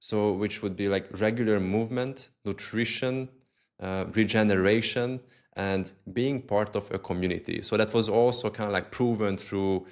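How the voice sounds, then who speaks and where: Low-voiced host. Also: male voice, fairly even intonation, normal speed. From Hungary. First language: Hungarian. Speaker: podcast host. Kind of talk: casual interview questions.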